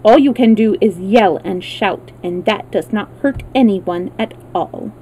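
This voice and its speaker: A woman's voice: mid-range voice, dark tone, slight background noise, natural voice, rough recording. Woman playing a blunt, unemotional clockwork man. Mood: flat, frank. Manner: matter-of-fact, measured. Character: unemotional, blunt, male mechanical man, wound-up machine.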